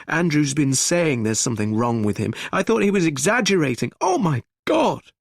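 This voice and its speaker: Man doing a frantic loud grown man, higher pitched, husky, slow delivery. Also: no room sound, studio quality. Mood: panicked. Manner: loud and agitated.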